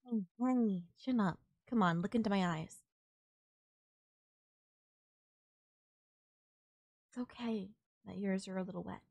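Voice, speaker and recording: A woman's voice: mid-range voice, fairly quick, gravelly, no room sound. A woman playing a tender, reassuring alien girlfriend in an intimate, bittersweet romantic audio roleplay. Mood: comforting, wistful. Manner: soft-hearted, reassuring, gently teasing, addressing a lover. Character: tender alien girlfriend saying a sad goodbye.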